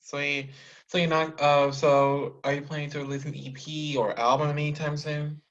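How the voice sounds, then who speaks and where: Upbeat casual interview host, mid pitch, muffled lo-fi audio. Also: level tone, moderate pace, fairly bright tone. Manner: upbeat, enthusiastic, casual slang.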